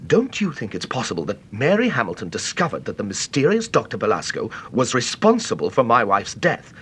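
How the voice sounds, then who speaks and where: An actor playing an anxious, agitated English widower in a radio mystery drama, well-spoken and excitable with a British accent. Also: male voice, medium pitch, fairly quick, slight background noise. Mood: urgent, suspicious.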